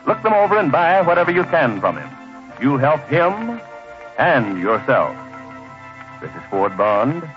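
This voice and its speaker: An American male announcer in classic old-time radio style, formal and emphatic. His voice is fairly high and very lively in pitch. The recording is dull and muffled, with music present. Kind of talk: 1930s-40s radio announcing, scripted sponsor message.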